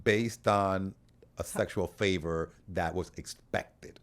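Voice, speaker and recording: Low-voiced man hosting a casual interview. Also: steady tone, slow. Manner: informal, slangy, swears. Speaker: podcast host interviewer.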